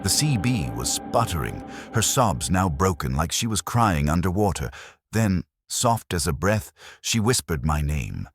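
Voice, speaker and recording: Very deep-voiced man narrating horror. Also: big pitch swings, unhurried, breathy edge, dry recording, faint music behind. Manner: suspenseful, dramatic storytelling. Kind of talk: scripted first-person horror narration.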